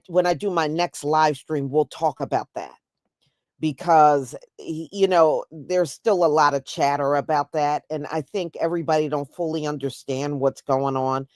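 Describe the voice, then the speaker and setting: A woman's voice: low voice, a little mellow, dry recording, studio quality. A woman with an American accent giving casual, emphatic, folksy opinion commentary as a live stream host.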